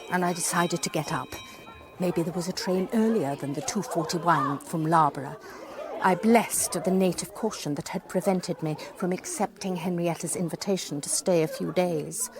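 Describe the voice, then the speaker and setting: A woman's voice: lowish voice, a little slow, music in the background, a crowd in the background. An actress playing a wry, self-deprecating middle-aged British lady psychologist, narrating a radio drama in a chatty, confiding way. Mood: chatty, amused. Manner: chatty, dryly humorous, confiding.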